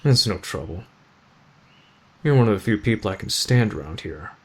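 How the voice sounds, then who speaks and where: A man acting in an ASMR roleplay as a gruff, aloof teenage delinquent, deadpan and terse but protective of a friend. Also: lowish voice, moderate pace. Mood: gruffly caring.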